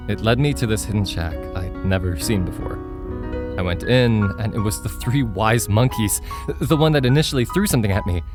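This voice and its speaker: A man acting an excitable, forgetful young man who tells his story in an earnest, conversational way for an audio drama. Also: lowish voice, close to an everyday voice.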